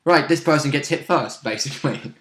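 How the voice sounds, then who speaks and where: A man's voice: on the high side, brisk, a little hiss or hum. A man chatting casually as a player and co-host about a tabletop game, prone to chuckling as he talks. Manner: chuckles often while talking. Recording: roomy, echoey sound.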